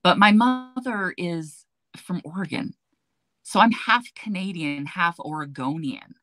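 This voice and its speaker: A woman with a notably low, deep voice, talking in a chatty, animated way as she tells stories. The sound is dry and close, with no room echo. It comes through a webcam on a video call from an indoor closet. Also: unhurried. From United States. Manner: chatty storyteller, animated, gestures while talking. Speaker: beauty influencer, guest. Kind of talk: casual chat on a livestream. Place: walk-in closet with shelves, indoors.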